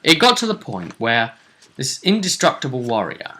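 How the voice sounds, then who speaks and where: A man hosting a casual recap of a tabletop role-play tournament, telling it like a story with deliberate phrasing. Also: mid-range voice, huge pitch swings, sharp tone. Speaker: host.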